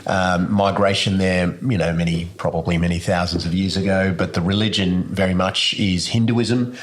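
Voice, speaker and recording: A man's voice: low-pitched, fairly even intonation, normal speed, slight background noise. A man in his forties from Sri Lanka, a doctor, telling his story in an interview with many ums and restarts. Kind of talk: casual interview chat. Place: farm.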